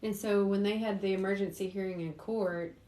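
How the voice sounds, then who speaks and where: A woman's voice: mid-range voice, steady tone, brisk, warm tone. A woman hosting an informal livestream, chatting casually and speaking directly to viewers as she answers their questions. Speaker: host, private investigator. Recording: roomy echo.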